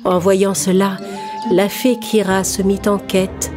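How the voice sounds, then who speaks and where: A woman reading scripted narration for an animated children's story. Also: medium pitch, takes it slowly. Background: music.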